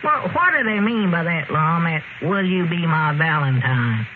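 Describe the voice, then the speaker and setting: High-pitched old Southern country codger. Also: male voice, lively intonation, measured pace. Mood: puzzled. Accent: rural Southern US. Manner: folksy, drawling country talk. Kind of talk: 1930s-40s radio comedy acting. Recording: old radio broadcast, narrow band, noisy.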